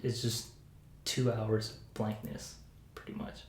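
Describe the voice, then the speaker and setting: A soft-spoken young adult playing a patient in an ASMR roleplay, giving hesitant, understated answers. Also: medium pitch, expressive, slow pace. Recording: echoey room, faint hiss.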